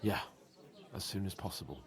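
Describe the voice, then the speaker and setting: An actor playing a sharp-tongued young nobleman who grumbles and complains sarcastically. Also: low voice, moderate pace, natural voice. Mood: mournful.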